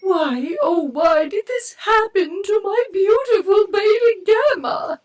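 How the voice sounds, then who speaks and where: A woman's voice: extremely high pitch, expressive, slow pace, a little mellow, heavily acted. A woman playing a wistful, genteel and plaintive female alien, last of her kind, in a sci-fi audio drama. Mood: mournful.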